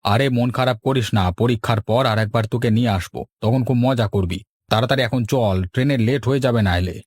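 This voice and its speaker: A man's voice: medium pitch, brisk, a little mellow, no room sound, clean studio sound, lightly acted. A man playing friendly, folksy village men and fathers in a cartoon, speaking in an avuncular way. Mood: coaxing. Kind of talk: animated cartoon dialogue.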